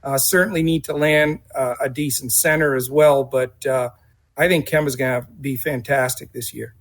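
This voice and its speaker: A man's voice: mid-range voice, fairly even intonation, normal speed. A man giving casual basketball analysis on a podcast, sounding confident and using many "you know"s and "I mean"s.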